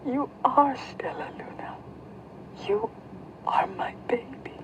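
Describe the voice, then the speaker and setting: Storybook mother bat woman performing: reassuring, breathy, slow and animated. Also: high voice, whispered, pitched above the performer's own voice, low-quality recording. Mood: tearful wonder. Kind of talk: children's storybook character voice.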